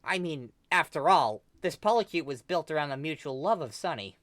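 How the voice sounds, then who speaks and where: A woman narrating fan fiction in an animated storytelling style. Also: sits low, fairly quick, dry recording, clean studio sound.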